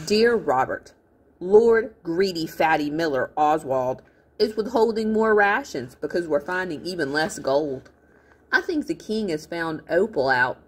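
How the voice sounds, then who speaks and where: Woman as a feisty, husky storybook girl. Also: on the low side, expressive, measured pace. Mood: grumpy, defiant. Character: feisty, blunt, grumpy young girl sending messages.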